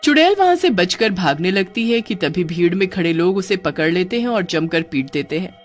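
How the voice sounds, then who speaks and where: A woman's voice: fairly low pitch, very animated, normal speed. Woman narrating over music. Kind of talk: scripted cartoon story narration. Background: background music.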